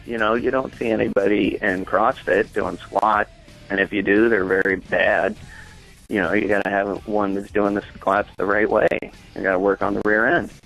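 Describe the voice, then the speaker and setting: A man with a lowish voice and plenty of fry speaks as a guest on a comedy show. He is casual, matter-of-fact and opinionated, over faint music. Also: steady tone, moderate pace, a bit bright, poor audio. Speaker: caller, comic expert guest.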